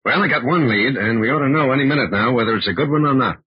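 An actor playing a brisk, methodical federal agent reporting on a case in an old-time radio drama. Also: male voice, medium pitch, expressive, husky, no echo. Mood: businesslike. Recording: dull, band-limited old radio recording.

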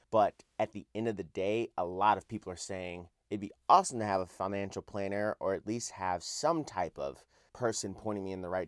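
A man with a raspy, somewhat hoarse voice hosts a solo finance podcast. His voice sits very low, and he talks earnestly and conversationally, often putting questions straight to the listener. The recording is dry and close, with no room sound. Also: darkish tone, no room sound. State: hoarse after a night out.

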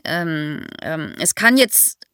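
A woman's voice: low voice, big pitch swings, slow, a bit bright, smooth, no room sound, studio quality. A woman explains history to young listeners as an expert guest, in a didactic way, posing questions as she goes.

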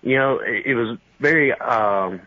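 A man's voice: mid-range voice, unhurried, dry recording. A man in his twenties calling in to a radio show, a teacher talking casually with lots of fillers. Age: 20s. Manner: casual, rambling, many fillers. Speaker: caller, high school teacher. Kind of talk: phone-in conversation. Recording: tinny phone line.

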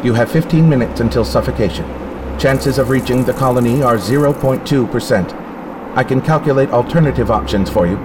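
An actor playing a spacesuit computer in an audio drama, coldly logical and polite, speaking in a measured, clinical, literal-minded way. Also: male voice, mid-range voice, background noise, lightly acted. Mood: calm, clinical warning.

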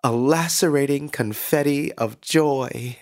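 An actor playing a relentlessly cheerful, eerie small-town radio host in a scripted monologue, theatrical and drawn-out, with a smile in his delivery. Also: mid-range voice. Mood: fond, joyful. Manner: theatrical, smiling, deliberately drawn-out. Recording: music behind the voice.

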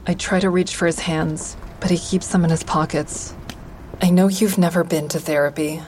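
A woman's voice: fairly low pitch, steady tone, normal speed, a little mellow, slight background noise, lightly acted, rough recording. A woman playing an introspective therapy-group member who narrates her own story in a confiding, reflective way for an audio drama. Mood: tender. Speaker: narrator and lead actor.

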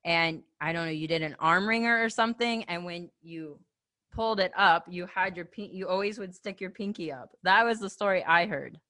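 A woman's voice: on the low side, normal speed, sharp tone. A woman from the American Midwest interviewing in a casual, friendly chat, agreeable and given to reminiscing. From Ohio, American Midwest.

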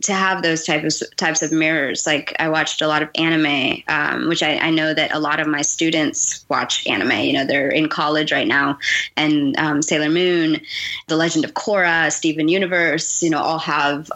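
A woman speaking as a guest in a podcast conversation, in a thoughtful way with frequent pauses. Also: sits low, fairly even intonation, pushed and bright, highs cut off. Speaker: guest, fiction writer and teacher.

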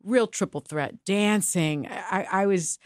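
A woman's voice: mid-range voice, takes it slowly, no echo, clean studio sound. A middle-aged woman whose first language is Spanish, talking candidly and emphatically in an interview. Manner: animated, emphatic, with laughs and restarts. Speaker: co-host and guest.

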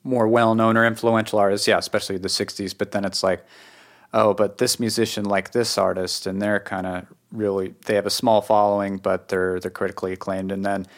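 A man's voice: on the low side, little pitch movement, fairly quick. A man with a New England accent, hosting a casual conversational podcast interview. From New England, United States. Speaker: podcast host.

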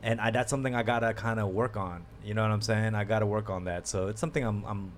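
Man, 30s, podcast host, candid and joking, lowish voice, faint background noise. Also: fairly even intonation. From United States. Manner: laid-back, candid, quick to joke and laugh. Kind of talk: casual podcast chat.

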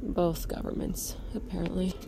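An actress playing a matter-of-fact, watchful operative in an audio drama. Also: female voice, fairly low pitch, fairly even intonation, moderate pace, creaky, noisy background.

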